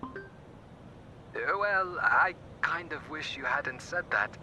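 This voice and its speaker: A man's voice: on the high side, lively intonation, extremely slow, bright, forceful tone, a little hiss or hum, heavily acted, rough recording. A man playing an encouraging, folksy teammate in a video game, with a hesitant, chatty manner. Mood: uneasy.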